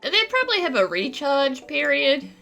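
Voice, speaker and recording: A woman playing a quick-witted traveling adventurer in a video game, with a wry, expressive delivery. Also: high voice, gravelly, soft background music, heavily acted. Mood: assured.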